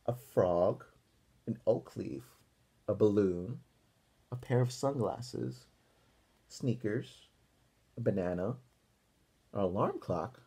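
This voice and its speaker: Expressive, slow-paced man reading to kids. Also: fairly low pitch, slightly rough. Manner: deliberate, pausing to question listeners. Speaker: teacher reading a picture book aloud. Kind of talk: animated read-aloud for young children, with questions.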